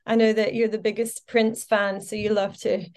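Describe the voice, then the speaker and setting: A woman's voice: medium pitch, level tone, moderate pace, a little mellow. A Scottish woman in her forties, a podcast guest chatting casually and telling her story with lots of fillers. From Scotland. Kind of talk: casual interview chat.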